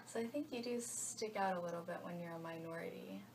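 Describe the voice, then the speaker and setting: A woman's voice: fairly low pitch, quick pace, far from the mic, noisy background. A young woman from the United States answers interview questions in a thoughtful, reflective way. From United States (Georgia). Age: young adult. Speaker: interviewee, college freshman.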